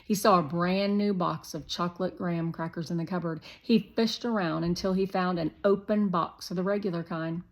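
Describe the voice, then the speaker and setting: A woman's voice: on the low side, fairly even intonation, moderate pace, hardly any pauses. A woman narrating a scripted children's story as a read-aloud.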